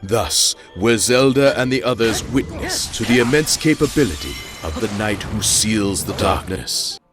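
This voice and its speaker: An actor playing a stern, regal older king with a gravelly voice, speaking formally and with command in a video game cutscene over music. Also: on the low side, animated, unhurried. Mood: solemn.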